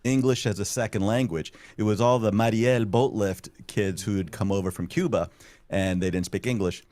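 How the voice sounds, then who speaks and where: Low-voiced man from South Florida hosting. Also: moderate pace, a little mellow, no room sound. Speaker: show host reading audience questions. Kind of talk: live talk show hosting.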